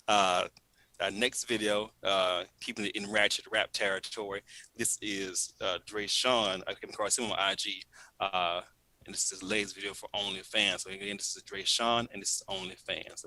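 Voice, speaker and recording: A man hosting a show, chatting loosely with many pauses and fillers. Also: sits low, pushed and bright, no room sound, poor audio. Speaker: show host. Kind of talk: casual interview and show links. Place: in Louisiana.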